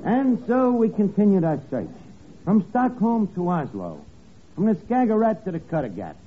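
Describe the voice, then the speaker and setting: An actor playing an earnest detective sidekick who narrates a 1950s radio comedy spoof with mock-serious emphasis. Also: male voice, high voice, very animated, moderate pace. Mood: dramatic narrating. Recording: crackly old radio broadcast, narrow band, studio audience.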